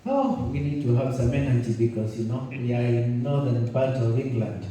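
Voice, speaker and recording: A man's voice: medium pitch, lively intonation, moderate pace, warm tone, far from the mic, slight background noise. A Kenyan man hosting a conference, talking in a chatty, jokey way with many restarts. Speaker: event host and journalist. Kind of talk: conference opening speech. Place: conference room. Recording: roomy hall, mic set back.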